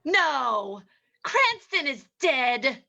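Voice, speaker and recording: Woman playing a high-voiced, ruthless radio-drama spy. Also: big pitch swings, very slow, gravelly, sharp tone, highs cut off, full character voice. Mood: startled, defiant. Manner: cold, menacing, melodramatic. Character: ruthless female spy holding men at gunpoint. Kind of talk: old-time radio acting.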